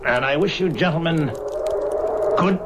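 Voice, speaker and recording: An actor playing an eerie, aloof and secretive stranger, speaking formally and theatrically in old-time radio drama style. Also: male voice, fairly high pitch, measured pace, close to an everyday voice. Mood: wry, resolute. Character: eerie, aloof, secretive male stranger buying his coffin. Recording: noisy, clicking old radio recording.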